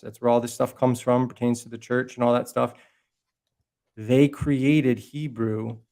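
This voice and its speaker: A man in a heated podcast conversation, his mid-pitched voice pushing forward with passion. He rambles, restarts sentences and swears casually as he lays out his theories. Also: darkish tone. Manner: impassioned, profane, rambling with restarts. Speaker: podcast guest.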